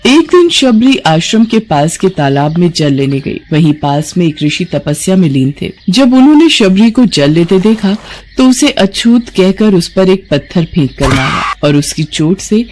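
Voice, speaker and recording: A woman's voice: lowish voice, big pitch swings, unhurried, a little mellow, a little hiss or hum. A woman narrating a scripted devotional story.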